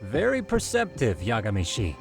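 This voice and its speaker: An actor playing a precise, lecturing detective who explains facts formally in a video game. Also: male voice, lowish voice, huge pitch swings, moderate pace, creaky, over music, exaggerated voice.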